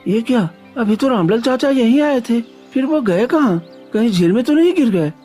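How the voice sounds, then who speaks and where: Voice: mid-range voice, animated, moderate pace, warm tone, natural voice. A performer voices a kind, honest young villager from a moral tale. The delivery is earnest and devout, with faint music behind it. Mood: worried. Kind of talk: acted dialogue in Hindi moral story.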